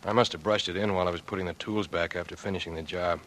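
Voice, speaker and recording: An actor playing a guilt-ridden, weary young man turned burglar, grave and halting, in 1940s radio drama style. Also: low voice, fairly even intonation, a bit bright, slight background noise. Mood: confessional, subdued.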